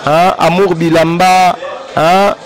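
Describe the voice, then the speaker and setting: A man from Congo-Brazzaville with a Congolese French accent, a guest artist rambling through an interview and giving long shout-outs. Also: sits high, flat delivery, slow pace.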